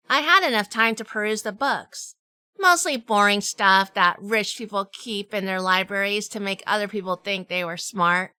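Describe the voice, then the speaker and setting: Bright, crisp, sassy female private eye, acted by a woman. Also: a little high, dry recording. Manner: wisecracking, feisty. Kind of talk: noir scripted narration with acted dialogue.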